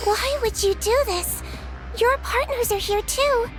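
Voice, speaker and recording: Little nonhuman nurse: tiny, extremely high voice, cute, earnest, precise game character, faint music. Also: female voice, unhurried. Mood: alarmed, urgent. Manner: cute, childlike, precise explaining. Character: small earnest nurse girl, nonhuman Melusine, clever and composed. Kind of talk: video game voice acting.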